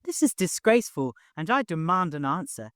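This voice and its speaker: A man's voice: a little high, very animated, normal speed, fairly bright tone, no echo, studio quality, pitched above the performer's own voice. A man voicing a cruel, volatile, domineering brother in an audiobook, commanding and threatening. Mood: furious. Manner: swings from smooth, stern menace to screaming rage.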